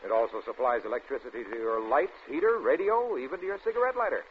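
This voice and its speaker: A man delivering an emphatic, salesmanlike 1940s radio advertising pitch as the commercial announcer. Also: fast talker. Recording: tinny band-limited old radio, faint noise.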